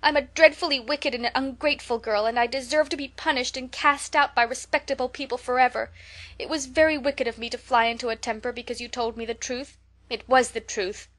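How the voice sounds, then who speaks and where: A woman's voice: mid-range voice, normal speed, lightly acted. A woman voicing a young, impulsive and imaginative orphan girl who speaks in a theatrical, tremulous, pleading way.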